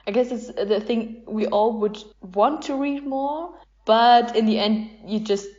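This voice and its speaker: A woman's voice: a little high, measured pace, darkish tone. Woman hosting a chatty podcast, slightly muffled. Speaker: podcast host asking quick either-or questions. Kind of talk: casual podcast chat. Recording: slightly dull podcast recording.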